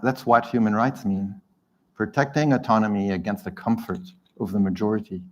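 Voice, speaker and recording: Low-voiced man giving debate remarks. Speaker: panelist.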